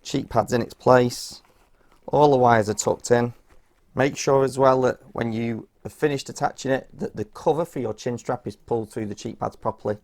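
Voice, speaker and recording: Gravelly-voiced man giving a practical tutorial. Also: mid-range voice, moderate pace, a little mellow. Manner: practical, instructional, informal. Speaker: presenter of a how-to product guide. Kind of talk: hands-on step-by-step tutorial narration.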